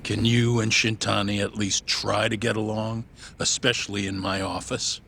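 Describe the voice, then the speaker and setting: An actor playing an exasperated older boss, stern and weary, in a video game scene. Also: male voice, lowish voice, little pitch movement, normal speed, creaky, fairly bright tone, a little hiss or hum. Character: exasperated older male boss scolding his staff. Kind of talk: video game cutscene acting.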